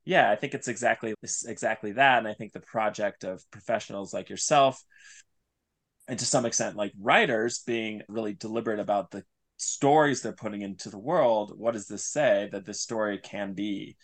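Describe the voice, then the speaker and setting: A man with a fairly low voice talks reflectively as an interview guest. He searches for his phrasing in a thoughtful, halting way. The sound is close and dry, with very little room in it. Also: no echo. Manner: thoughtful, searching, pieces sentences together haltingly. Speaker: writer and podcast guest.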